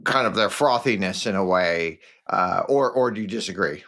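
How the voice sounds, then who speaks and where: Low-pitched man, halting podcast interviewer. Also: a bit bright. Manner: halting, rephrases questions mid-sentence. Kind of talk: casual interview chat.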